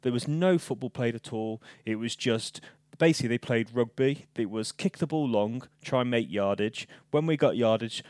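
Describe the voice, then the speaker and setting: Male football podcaster, earnest, halting with ums, dry close recording. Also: medium pitch, a little mellow, no room sound. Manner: hesitant, many "um" fillers, earnest. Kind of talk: casual football chat.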